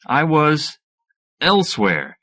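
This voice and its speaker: A man reading first-person fiction as an audiobook narrator, in an expressive scripted style. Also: fairly high pitch, takes it slowly.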